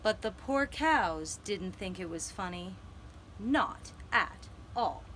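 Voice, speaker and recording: Female children's storyteller, gentle and deliberate, lilting over a wide range, with slight background noise. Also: medium pitch, lively intonation. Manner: slow, deliberate, dramatic phrasing with pauses. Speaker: storyteller and puppeteer.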